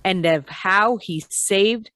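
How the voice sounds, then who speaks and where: A woman playing a dramatic announcer of science-fiction radio tales, narrating deliberately in old-time radio style. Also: mid-range voice, a bit bright, dry recording. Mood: dramatic welcome. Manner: deliberate, theatrical delivery.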